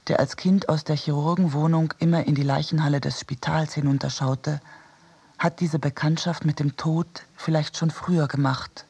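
A man reading literary radio prose in a measured, reflective manner. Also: a little high, fairly even intonation, vocal fry, a little mellow, breathy edge. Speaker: narrator.

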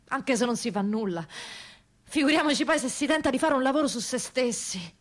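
A woman's voice: high voice, fairly bright tone. A woman playing a proud, relieved ex-prisoner in an emphatic, insistent theatrical monologue. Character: freed female ex-prisoner, proud, relieved, determined. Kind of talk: theatrical first-person monologue.

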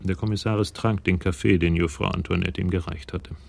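Male narrator for radio crime drama: low, creaky voice, sober scripted delivery. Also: fairly even intonation, brisk.

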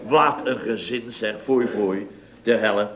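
A man's voice: fairly low pitch, unhurried, fairly bright tone. A man acting a blustering, indignant builder boss who tells tall stories theatrically in an old Dutch radio comedy. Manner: theatrical, exclamatory storytelling with repeated tag words.

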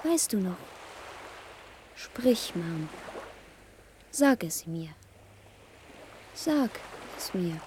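A woman's voice: on the low side, sing-song, slight background noise, exaggerated voice. A woman playing a dreamy young daughter in a radio play, wistfully coaxing and pleading with her mother. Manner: slow, wistful, gently coaxing.

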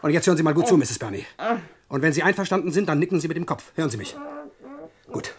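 An actor in a German radio play playing a smug young burglar, glib and mock-polite. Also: male voice, fairly high pitch, animated, fast, crowd noise, lightly acted. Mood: coolly threatening. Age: young adult. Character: cocky, smooth-talking young burglar and blackmailer.

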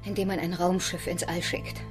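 An actress playing a blunt, sceptical starship crewmate in a science fiction radio drama, with a dry, probing manner. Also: female voice, fairly low pitch, little pitch movement, normal speed, close to an everyday voice. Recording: loud music behind the voice.

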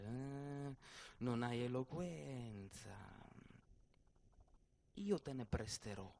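A man playing a proud, witty, wounded poet swordsman on stage, theatrical, deliberate and intense. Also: medium pitch. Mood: hushed, probing.

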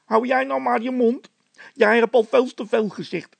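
A man doing a high-pitched, indignant, scornful workman. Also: fairly even intonation, normal speed, gravelly, no room sound, heavily acted. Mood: scolding. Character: hot-tempered, mocking workman with a scolding streak. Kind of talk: character voice in a read-aloud comic story.

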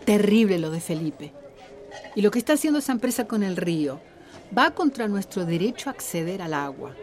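Practical townswoman played by a woman: medium pitch, matter-of-fact, conversational radio-play delivery. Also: big pitch swings, fairly quick, a little mellow, a little hiss or hum, natural voice. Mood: concerned. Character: frank, practical village woman neighbour. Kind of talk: radio drama acting.

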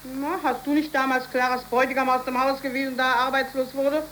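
A woman's voice: sits high, little pitch movement, fast talker, a bit bright. An actress playing an anxious, protective working-class mother, pleading and reproachful, in a 1930s radio drama. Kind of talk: 1930s German radio play acting. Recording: noisy old 1930s radio recording.

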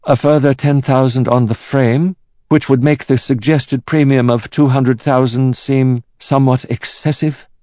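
A man voicing a courteous male art expert in an audiobook, speaking in a formal, deliberate, politely condescending way. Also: medium pitch, steady tone, no room sound, muffled recording, pitched above the performer's own voice, lightly acted. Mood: polite condescension. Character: measured, courteous male art expert delivering bad news.

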